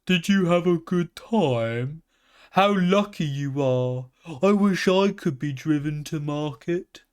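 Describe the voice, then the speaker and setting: A man voicing a gentle little female calf in a storybook reading, soft and pleading. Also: a little high, animated, slow, clear, resonant tone, pitched above the performer's own voice. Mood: friendly.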